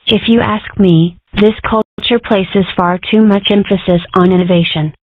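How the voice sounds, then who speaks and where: A woman's voice: medium pitch, steady tone, normal speed, dry recording, narrow, tinny audio, close to an everyday voice. A woman voicing an opinionated, mischievous animal girl in a comic dub. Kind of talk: comic dub character acting.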